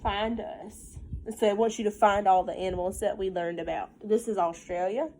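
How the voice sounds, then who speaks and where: A woman's voice: mid-range voice, steady tone, moderate pace, darkish tone, slight background noise, low-quality recording. A woman with a Southern US accent, a teacher reading a picture book aloud to children in a sing-song way with folksy, casual asides.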